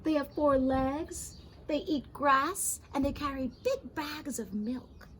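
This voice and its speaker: A woman's voice: high-pitched, slow pace, slight background noise. A woman voicing a worldly, mysterious male frog in a children's storybook, savouring and repeating words with proud emphasis. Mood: mysterious, marvelling. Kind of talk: children's storybook read-aloud.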